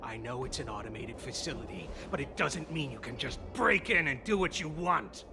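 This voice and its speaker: A gravelly, animated male craftsman in a fantasy game. He talks casually and soothingly, slightly shifty, with music underneath. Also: medium pitch, normal speed. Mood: casual, placating.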